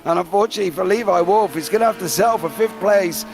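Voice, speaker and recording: Gravelly excitable British race caller. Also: male voice, high voice, faint music behind. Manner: excitable play-by-play, calling names fast. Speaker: race commentator. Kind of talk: live sim racing commentary.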